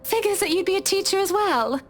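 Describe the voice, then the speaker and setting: A woman's voice: very high voice, lively intonation, brisk, soft background music. A woman voicing a genteel, affectionate and wry lady of a mansion in a visual novel, with a gracious, proper manner. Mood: gracious, warm.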